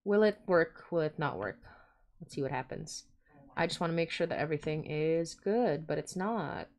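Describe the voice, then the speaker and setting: A woman's voice: deep voice, lively intonation, normal speed, darkish tone. A woman hosting a casual livestream, chatting informally and often interrupting herself as she goes. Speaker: podcast host and creator.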